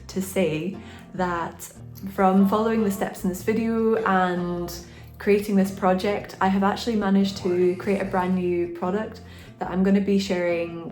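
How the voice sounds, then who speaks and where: A woman's voice: fairly low pitch, a little mellow. A woman artist chatting to camera in an encouraging, friendly way, sounding a little stuffed up from a cold. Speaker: artist and video creator. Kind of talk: casual talk to camera.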